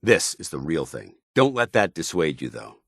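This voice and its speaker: An American man voicing a stern, earnest, duty-bound military commander in a video game, speaking in formal, measured phrases. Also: extremely low pitch, very animated, gravelly, fairly bright tone, breathy edge, no echo, clean studio sound, full character voice. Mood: firm, proud. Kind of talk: video game companion dialogue.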